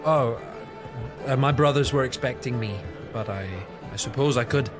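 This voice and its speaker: An actor playing a modest, deferential young knight in an audio drama, with a halting, polite delivery. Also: male voice, medium pitch, music in the background, lightly acted. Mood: awkward, modest. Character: hesitant, deferential young knight, guarded and awkward.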